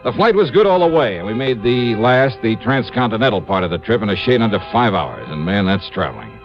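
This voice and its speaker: A man's voice: on the low side, brisk. A man playing a wry, breezy American insurance investigator who narrates his case in a 1950s radio detective drama. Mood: brisk, wry. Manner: breezy, clipped, wry, animated. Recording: dull old radio recording with music.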